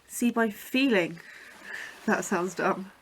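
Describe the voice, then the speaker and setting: An actress playing an anxious, inquisitive young scientist who keeps pestering a coworker with questions. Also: female voice, medium pitch, slow, slight background noise, natural voice, low-quality recording.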